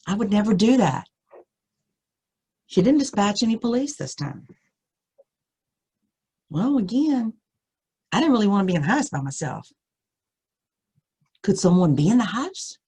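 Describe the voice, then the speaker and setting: A woman reading a spooky first-person story aloud, with suspenseful, deliberate phrasing and dramatic emphasis. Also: medium pitch, sing-song, dark tone, breathy edge.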